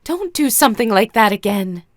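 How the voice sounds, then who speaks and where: A woman's voice: on the high side, big pitch swings, moderate pace, no room sound, studio quality. A woman playing a caring young female warrior in an audio drama, scolding out of concern. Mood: worried scolding.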